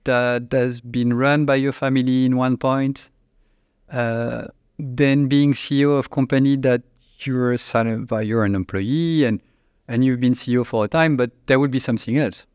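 A man with a French accent, a company CEO, answering interview questions in a halting, searching way with frequent restarts. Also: medium pitch, fairly even intonation, darkish tone, smooth, no room sound. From France. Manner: halting, restarts often, searches for English words.

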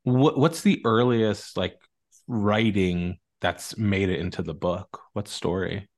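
A man's voice: on the low side, takes it slowly. A man interviewing a guest as podcast host, chatting casually with loosely phrased, hesitant questions.

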